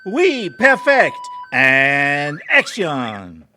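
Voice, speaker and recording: Shrill, flamboyant French film director. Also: male voice, huge pitch swings, drawn-out words, bright, forceful tone, slight background noise, heavily acted. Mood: excited, bossy. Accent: exaggerated French. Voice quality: theatrical, fussy delivery. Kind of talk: comedic audio theatre acting.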